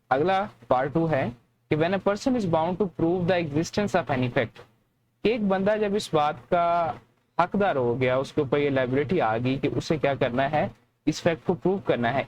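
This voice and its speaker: A man with a Pakistani accent speaks as a law lecturer in a slightly high voice. He explains step by step, restating each point for students. There is faint noise in the recording. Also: low-quality recording. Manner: didactic, repeats and rephrases points. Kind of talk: explanatory lecture, reading clauses then explaining.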